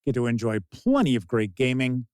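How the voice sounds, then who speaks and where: A man hosting a tabletop game review, talking casually to camera with an emphatic sing-song delivery and drawn-out words. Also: mid-range voice, very animated, a little mellow, smooth, dry recording, studio quality.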